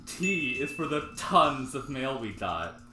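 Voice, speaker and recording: A man co-hosting a casual podcast, reading a comic's letters page aloud with playful, animated delivery. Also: mid-range voice, slow pace, echoey room, loud music behind the voice. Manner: animated, laughing, reads letters aloud with mock drama. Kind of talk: casual chat and table reading.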